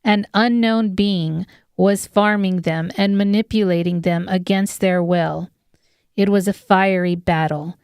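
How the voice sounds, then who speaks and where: A woman's voice: medium pitch, fairly even intonation, measured pace, a little mellow, clean tone, dry recording, clean studio sound. A woman narrating a scripted fantasy audiobook as its storyteller.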